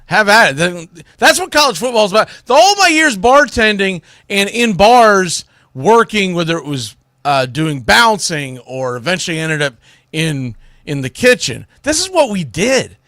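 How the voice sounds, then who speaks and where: Male solo sports commentator, somewhat high-voiced, opinionated and informal, close dry sound. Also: big pitch swings, moderate pace, bright, forceful tone, dry recording. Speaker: sports talk show host.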